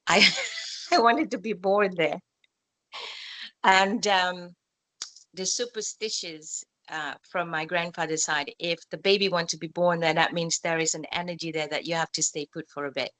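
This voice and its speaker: A woman with a fairly low voice speaks calmly and reflectively, in loose second-language English with spiritual phrasing. She was born in Malaysia. The sound is dry and close, with no room echo. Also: normal speed. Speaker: skin expert interviewee. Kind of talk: reflective casual interview talk.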